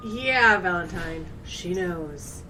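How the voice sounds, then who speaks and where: A woman playing a gruff, blunt, scolding friend in an audio drama. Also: lowish voice, lively intonation, slow pace, bright, forceful tone, full character voice. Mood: annoyed. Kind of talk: audio drama character acting. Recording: slightly distant with faint noise.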